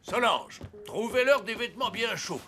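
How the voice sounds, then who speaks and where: A man's voice: very high-pitched, animated, moderate pace, sharp tone, heavily acted. An actor playing Father Christmas in a cartoon, an old, fatherly mentor who is polite and theatrical, jolly but firm. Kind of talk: animated cartoon acting. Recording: music behind the voice.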